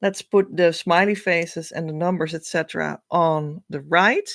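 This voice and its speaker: A woman with a Dutch accent, a spiritual coach thinking aloud as she reads meaning into letters, with many pauses and hesitations. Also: on the low side, steady tone, creaky, a bit bright, dry recording. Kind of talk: casual spiritual reading.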